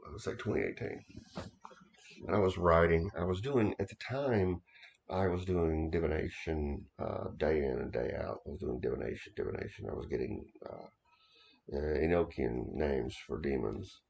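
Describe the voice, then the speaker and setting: A man in his thirties or older with a very deep, gravelly voice tells a personal story in a casual, halting way, often repeating himself and restarting his sentences. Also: darkish tone, muffled recording. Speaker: occult author recounting experiences.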